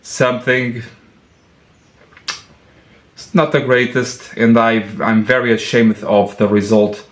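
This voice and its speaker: A man with a Portuguese accent, a mechanic and channel host, talking earnestly in a halting way, with many fillers and restarts. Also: mid-range voice, level tone, roomy sound. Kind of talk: apologetic casual vlog talk. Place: car workshop.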